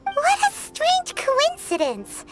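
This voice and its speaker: A woman's voice: extremely high pitch, huge pitch swings, slow pace, over loud music, full character voice. A woman voicing a small, cheeky, childlike character in a video game. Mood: wry. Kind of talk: English game voice acting.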